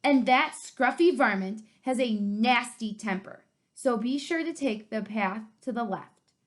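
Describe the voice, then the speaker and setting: A woman's voice: a little high, expressive, unhurried. A woman voicing a patient parent rabbit who instructs and cautions a child, in a picture book read-aloud. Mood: cautioning. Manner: clear instructing.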